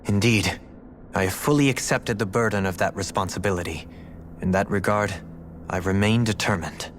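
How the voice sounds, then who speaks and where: An actor playing a formal, solemn young former lord in a video game, speaking in a measured, courteous way. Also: male voice, on the low side, a little hiss or hum, lightly acted. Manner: formal, measured, tense when asking favors. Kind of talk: dramatic video game cutscene acting. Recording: music playing behind the voice.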